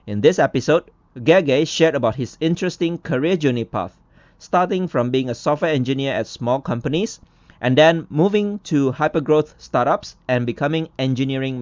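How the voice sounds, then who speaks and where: A male podcast host speaks at a medium pitch, introducing and interviewing. The recording is close and dry, with little room sound. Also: dry recording, highs cut off.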